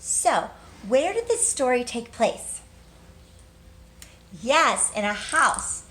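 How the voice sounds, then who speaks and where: A woman's voice: fairly high pitch, very animated, takes it slowly, fairly bright tone. A woman teacher leading a read-aloud lesson for young children in simple, encouraging language, asking questions and answering them herself.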